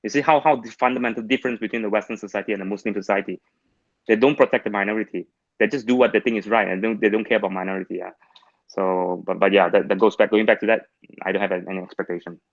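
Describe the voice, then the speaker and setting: A man from Malaysia, speaking English as a second language, answers as a guest in casual discussion, blunt and halting with restarts. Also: lowish voice, steady tone, no room sound, dull audio. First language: not English.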